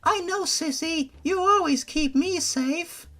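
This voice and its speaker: A man voicing a sweet, timid, clingy little girl in a childlike falsetto. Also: slow, bright, forceful tone, soft background music, exaggerated voice. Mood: sweet, clinging.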